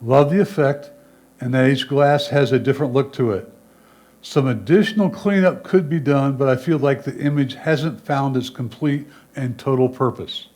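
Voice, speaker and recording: A man with a medium-pitched voice reads judges' written comments aloud. He works through each critique as a designated reader at a photo competition. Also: moderate pace, darkish tone, soft background music.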